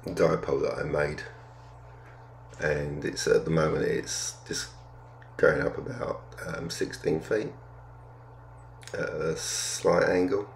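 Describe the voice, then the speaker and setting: Older male hobby vlogger, extremely low and gravelly, casual and understated, explaining as he goes. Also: flat delivery, takes it slowly, long pauses, slight background noise. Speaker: amateur radio hobbyist vlogger. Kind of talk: casual explanatory vlog talk.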